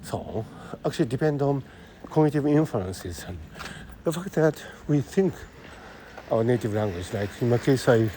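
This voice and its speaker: A man with a Japanese accent thinking aloud in a halting monologue while walking city streets, speaking into a phone, full of fillers and restarts. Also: mid-range voice, animated, mellow, a little hiss or hum. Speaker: podcast host. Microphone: phone held close.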